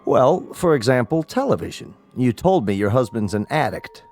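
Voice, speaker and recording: An actor in a radio drama playing a gravely polite family doctor who speaks in a deliberate, professorial way. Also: male voice, mid-range voice, big pitch swings, a little mellow, soft background music. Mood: grave, explanatory.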